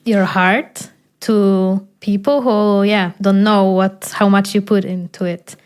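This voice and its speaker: A young woman from Poland, Polish her first language, speaks in a casual chat. Her voice sits in a middle range. She pauses and searches for words, with gentle fillers as she reflects. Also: mid-range voice, fairly even intonation, clear, resonant tone. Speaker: podcast host. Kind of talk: casual conversation.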